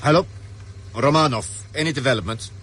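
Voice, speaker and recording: An actor playing a curt, controlled spy chief making a telephone call in a radio drama. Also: male voice, mid-range voice, brisk, fairly bright tone, close to an everyday voice. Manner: curt, authoritative. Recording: faint car engine noise behind.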